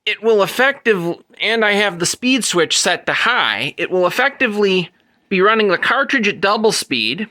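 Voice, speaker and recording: A man's voice: high-pitched, expressive, normal speed, sharp tone. A man giving an unscripted, methodical technical explanation as a presenter, going into detail and correcting himself as he goes. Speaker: presenter demonstrating vintage audio gear.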